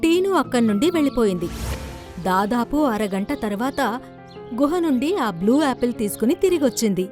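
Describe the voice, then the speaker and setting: A woman's voice: fairly high pitch, expressive, a little fast, a little mellow. A woman narrator reading a scripted children's story. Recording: background music under the voice.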